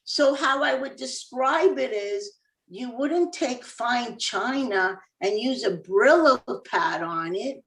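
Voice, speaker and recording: A woman's voice: fairly high pitch, expressive, slow, a bit bright, roomy sound, far from the mic, low-quality recording. A woman from Cuba, an author and former dentist, talking casually as an interview guest with easy laughs and many fillers.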